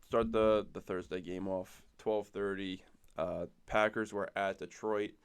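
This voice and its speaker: A young man, hoarse and nasal from a cold, co-hosting a casual podcast and rattling off game stats. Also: deep voice, level tone, unhurried. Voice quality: raspy, nasal. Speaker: podcast co-host. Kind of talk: casual sports chat.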